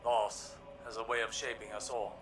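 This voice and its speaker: An actor playing an older scholarly warlock in a video game, grave and proud, with formal, measured, erudite speech. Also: male voice, lowish voice, little pitch movement, over music, lightly acted. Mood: reassuring.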